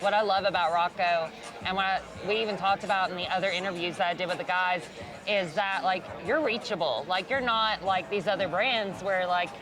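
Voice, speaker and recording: A woman interviewing a brand founder, enthusiastic and full of "like" fillers. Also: medium pitch. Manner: enthusiastic, heavy use of "like". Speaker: interviewer, barber. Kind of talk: sit-down interview. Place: outdoors at a busy trade convention.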